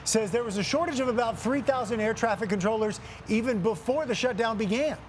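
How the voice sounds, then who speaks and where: A man's voice: very high voice, a little fast, slight background noise. A man with an American accent reading the news as a television anchor.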